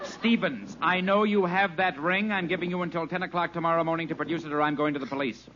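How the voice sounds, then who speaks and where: An actor playing a stern, impatient gentleman with brusque, clipped speech in old radio comedy style. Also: a little high, quick pace, some chatter behind. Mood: indignant. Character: stern, impatient gentleman demanding his property. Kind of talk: 1940s-50s radio comedy acting. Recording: muffled, very noisy old radio recording.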